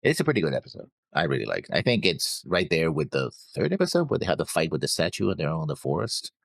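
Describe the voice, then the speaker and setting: A man co-hosting a reaction show, giving casual commentary in a halting way, often checking with "right?". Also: very deep voice, huge pitch swings, vocal fry, no echo, studio quality. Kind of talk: casual discussion and episode commentary.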